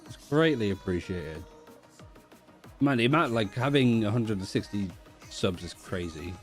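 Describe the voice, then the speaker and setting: A man's voice: fairly low pitch, animated, moderate pace, soft background music. A man from Africa, an Afrikaans speaker, chatting loosely with viewers and swearing freely on a stream. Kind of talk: casual co-stream chat.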